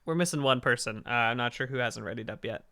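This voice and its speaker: Male host, hoarse and raspy, bright tone, rambling gamer chat. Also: fast talker. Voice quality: deeper raspy register. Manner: rambling, self-interrupting. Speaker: stream host. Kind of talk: casual gaming chat on a charity stream.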